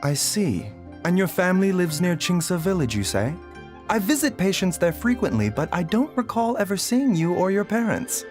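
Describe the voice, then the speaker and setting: An actor playing a gentle, composed doctor in a video game, polite, formal and soothing. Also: male voice, high-pitched, very animated, moderate pace, soft background music. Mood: thoughtful, courteous. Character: gentle, courteous doctor, soft-spoken and composed.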